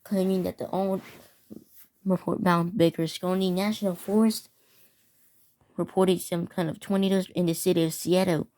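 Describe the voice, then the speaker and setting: A woman with a fairly low voice acts as an emergency alert announcer. She reads scripted warnings and official notices in the form of a public safety bulletin. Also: level tone, normal speed, long pauses, mellow.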